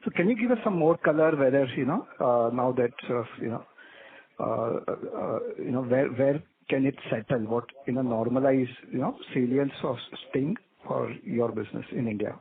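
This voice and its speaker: A man asking questions as an analyst on an earnings call, with halting, searching phrasing. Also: mid-range voice. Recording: tinny phone line.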